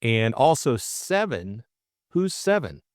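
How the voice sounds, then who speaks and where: A man's voice: mid-range voice, big pitch swings, slow, no echo, studio quality. A middle-aged man co-hosting an audiobook, narrating and chatting informally about the book in a hesitant way. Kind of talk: narration and casual book discussion.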